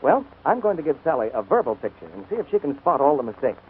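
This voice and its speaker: A man's voice: a little high, sing-song, fast. A man announcing and reading advertisements in a polished 1940s radio style. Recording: scratchy, narrow-band 1940s radio broadcast.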